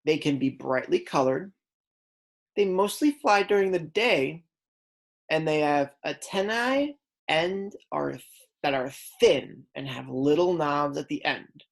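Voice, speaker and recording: Female kindergarten teacher, low register, unhurried delivery. Instructive read-aloud for small children, simple explanations and prompts. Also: vocal fry.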